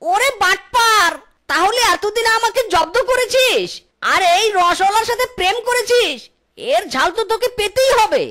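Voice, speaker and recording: A woman's voice: very high voice, lively intonation, moderate pace. A woman voicing a hapless, aggrieved young male fox husband in a comic cartoon, with exaggerated complaining delivery. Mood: vengeful. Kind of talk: Bangla comic cartoon voice acting. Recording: strong noise behind the voice.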